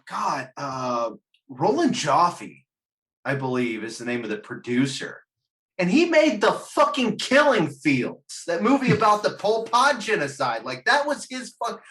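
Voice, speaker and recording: A man in an animated, casual podcast chat, ranting emphatically and swearing freely. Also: high voice, unhurried. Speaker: podcast panelist ranking video game movies.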